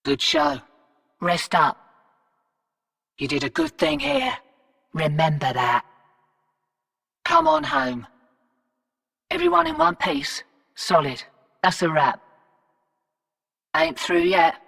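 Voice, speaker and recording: An actress playing a brash, wry, streetwise space-colony fixer in a video game, with a laconic, cocky, slangy drawl. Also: female voice, on the high side, sing-song, slow pace, gravelly, long pauses, fairly bright tone, long reverb tail, recorded from a distance, full character voice. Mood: approving. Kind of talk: scripted video game character dialogue.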